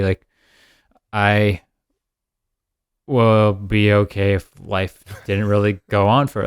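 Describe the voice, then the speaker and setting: Man, mid-thirties, low voice, dry sound, rambling upbeat podcast chat. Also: clean tone, dry recording. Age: 30s. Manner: rambling, upbeat, laughs often. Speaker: podcast co-host. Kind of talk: casual chat.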